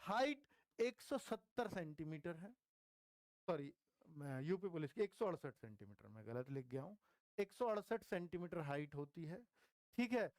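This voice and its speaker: High-pitched man, coaching students. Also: very animated, normal speed, darkish tone, dry recording. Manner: conversational, repeats key points for emphasis. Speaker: exam coach teaching online. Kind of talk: informal explanatory lecture to students.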